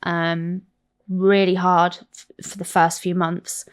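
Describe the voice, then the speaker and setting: A woman's voice: fairly low pitch, slow pace, clear, resonant tone. Candid English woman telling stories. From South London. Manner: animated, swearing, self-mocking storyteller. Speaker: guest. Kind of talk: candid interview chat.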